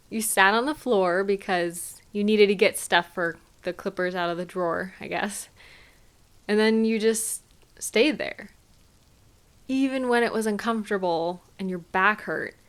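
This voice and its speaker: A woman playing a caring, gently chiding partner in an intimate audio roleplay, speaking soothingly to a sweetheart. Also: mid-range voice, lightly acted. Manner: tender, soothing, gently questioning and unhurried. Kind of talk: intimate scripted audio roleplay addressed to the listener.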